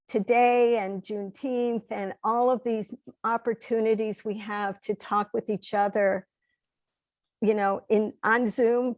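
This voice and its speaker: A woman's voice: mid-range voice, gravelly, darkish tone, dry recording. A woman giving earnest, emphatic personal remarks as a panelist at an online roundtable, repeating words for emphasis. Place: video call.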